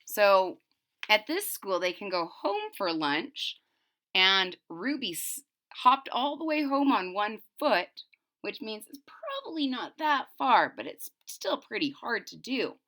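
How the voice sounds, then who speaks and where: A woman's voice: medium pitch, big pitch swings, slow, bright, forceful tone. A woman reading a children's storybook aloud expressively and explaining it to young listeners in asides. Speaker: storybook reader.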